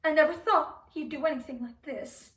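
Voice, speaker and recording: A woman performing a dramatic monologue as a grieving, defensive mother who dotes on her son, halting and pleading as she speaks. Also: high-pitched, a bit bright. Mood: distraught, pleading. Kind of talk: dramatic monologue for speech competition. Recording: echoey room, distant microphone.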